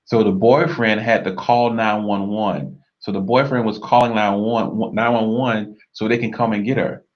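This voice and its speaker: A man with a very deep voice co-hosts a marriage discussion, thoughtful and measured. He speaks earnestly and drops in steady murmurs of agreement. The sound is muffled and lo-fi. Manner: measured, reflective, frequent affirming murmurs. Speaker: co-host of a marriage talk show.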